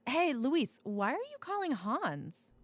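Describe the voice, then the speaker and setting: A woman's voice: sits high, big pitch swings, slow, no room sound, heavily acted. An actress playing a blunt, flippant woman on the run in an audio drama, with a breezy, sardonic, casual delivery.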